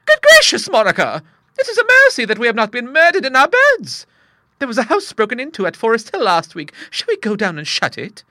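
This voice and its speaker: A man voicing a timid, kindly elderly spinster with a high, thin voice who gasps out her alarm. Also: huge pitch swings, fairly quick, sharp tone, no echo, studio quality, exaggerated voice. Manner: gasping, alarmed.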